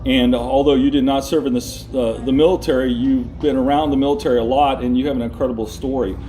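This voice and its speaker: A man's voice: medium pitch, steady tone, fast talker, warm tone, slight background noise, low-quality recording. A man hosting an interview, asking questions in a hesitant way with fillers. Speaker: host.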